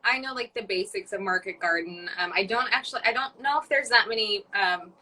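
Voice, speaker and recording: A woman hosting a casual interview, chatty and quick to laugh. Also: mid-range voice, bright, forceful tone, low-quality recording. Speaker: podcast host.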